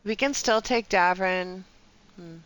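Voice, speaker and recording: A woman's voice: mid-range voice, takes it slowly, fairly bright tone, rough recording. A woman giving casual live gameplay commentary, thinking aloud in a chatty, playful way with bits of slang. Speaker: game streamer. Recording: faint noise and game ambience behind.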